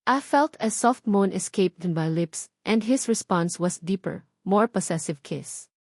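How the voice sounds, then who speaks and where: A woman with a Filipino accent narrates a first-person romance story in an emotive, dramatic way with deliberate pauses. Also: medium pitch, lively intonation, no room sound, studio quality.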